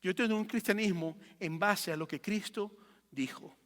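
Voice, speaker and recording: A male pastor with a high-pitched, bright and forceful voice delivers a teaching sermon. He hammers points home by repeating phrases and posing rhetorical questions. His voice rings with long reverb in a large room. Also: normal speed. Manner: emphatic, repeats phrases for effect. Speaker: pastor preaching.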